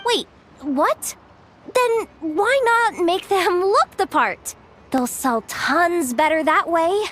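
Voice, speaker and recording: Brash, high-pitched young woman. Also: animated, slow, a bit bright, a little hiss or hum, exaggerated voice. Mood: teasing, incredulous. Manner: brash, teasing, theatrical. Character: cocky, playful young woman expo guard, tough-talking. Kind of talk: video game voice acting.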